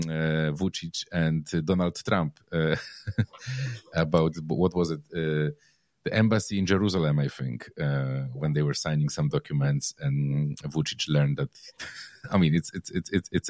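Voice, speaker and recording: A man's voice: extremely low pitch, darkish tone, no room sound, studio quality. A man hosting a podcast interview, chatting casually and rambling with many fillers and restarts. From Poland. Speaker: podcast host.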